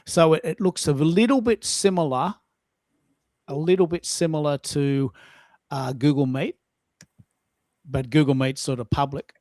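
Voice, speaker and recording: A man's voice: fairly high pitch, measured pace, pauses often, darkish tone, no room sound, studio quality. A man hosting a casual live-stream chat, informal, rambling and blunt in his way of talking. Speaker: host, social media coach.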